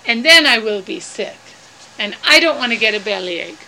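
A woman voicing an excitable, gullible, greedy male tree-climbing creature in a storybook tale, dramatic and drawing out words. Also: fairly high pitch, creaky, slight background noise, exaggerated voice, low-quality recording. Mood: pleading, stubborn. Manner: dramatic, exclaiming, drawn-out words.

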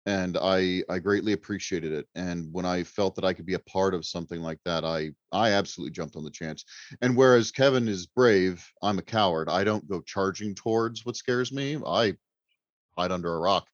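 A man's voice: deep voice, normal speed. A man chatting casually as a guest author on a podcast, wordy, self-deprecating and joking. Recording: roomy, slightly dull microphone sound.

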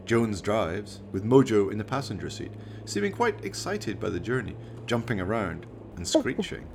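A man's voice: on the low side, huge pitch swings, normal speed, gravelly, background noise. A man narrating a scripted radio play in a dramatic storytelling manner.

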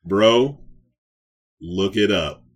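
A man's voice: fairly low pitch, very slow. A man co-hosting a podcast in casual, slangy, informal banter. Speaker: podcast co-host. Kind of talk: casual banter reviewing movies and shows.

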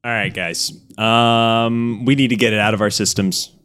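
A man, talking casually as a podcast co-host pitching ideas. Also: fairly even intonation, normal speed, fairly bright tone, clear, resonant tone, dry recording. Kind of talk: casual brainstorming chat.

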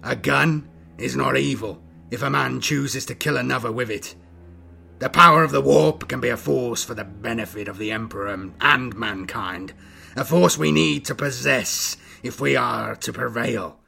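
A man voicing a scarred, corrupted older inquisitor in an audiobook, with a hissing, gravelly voice and a slow, grandiose, scornful delivery. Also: medium pitch, pushed and bright, heavily acted. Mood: proud, insistent.

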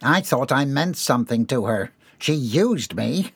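A man's voice: medium pitch, expressive, unhurried, vocal fry, fairly bright tone, breathy edge, no echo, clean studio sound, heavily acted. A man voicing a hapless, whining employee in an audiobook, confused and hesitant, feigning innocence. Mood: sheepish, defensive. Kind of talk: dramatised audiobook dialogue.

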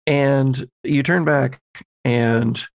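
A man's voice: medium pitch, fairly even intonation, drawn-out words, creaky, no echo, studio quality. A man, narrating a tabletop horror roleplaying game as game master.

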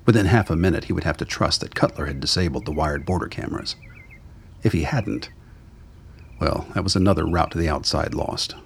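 A man with a gravelly, husky voice narrating a scripted radio drama. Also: extremely low pitch, a little fast, a little hiss or hum.

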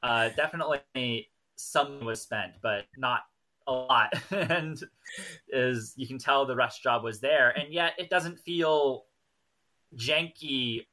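A man's voice: medium pitch, slow, pushed and bright. A man in a casual, analytical discussion on a TV review podcast. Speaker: podcast co-host.

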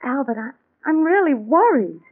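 An actress playing a fussy, insistent, fretful wife with a brisk, bossy manner in 1940s radio thriller style. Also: female voice, sits high, very animated, faint music behind. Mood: worried. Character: fussy, insistent young wife, fretting over her mother. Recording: narrow, tinny 1940s radio recording.

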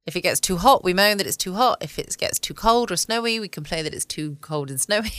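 An English woman chatting informally and cosily as the host of a relaxed bedtime podcast. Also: on the low side, a little fast, no room sound. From United Kingdom. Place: at home, indoors.

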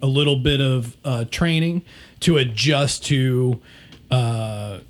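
Raspy-voiced man in casual chat. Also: medium pitch, takes it slowly. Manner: rambling, frequent restarts and laughs. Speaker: podcast co-host, DJ.

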